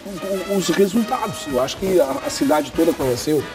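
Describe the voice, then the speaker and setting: A man's voice: a little high, animated, brisk. A man hosting a casual cooking show in a showroom kitchen, talking in a chatty way with restarts. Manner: chatty, many restarts and fillers. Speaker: host. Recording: music under the voice. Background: background music.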